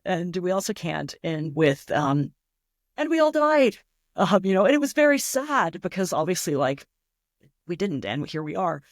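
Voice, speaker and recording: A woman's voice: lowish voice, very animated, moderate pace, no room sound. A woman talks as a guest writer in an interview. She is reflective and enthusiastic, working through ideas with imagined examples. The sound is dry, with hardly any room on it. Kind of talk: thoughtful interview talk.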